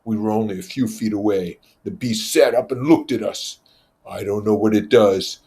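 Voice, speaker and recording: A man's voice: on the low side, normal speed, dark tone, voice pushed up, natural voice. A man voicing an aggressive, contemptuous schoolboy hunt leader in a storybook reading, commanding and accusing. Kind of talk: storybook character voice.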